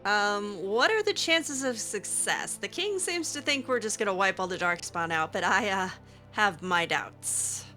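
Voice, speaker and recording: A woman's voice: medium pitch, expressive, a little slow, pushed and bright, soft background music. A woman improvising in character during a game playthrough, talking casually and hesitantly with dry sarcasm. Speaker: gaming host role-playing her character.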